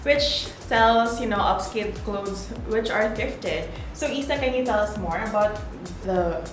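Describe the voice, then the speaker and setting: A woman's voice: mid-range voice, unhurried. A woman hosting an interview show in an upbeat presenter manner, asking questions and giving short "mm-hmm" replies. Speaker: show host. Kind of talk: hosted interview and outro. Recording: roomy recording with faint music.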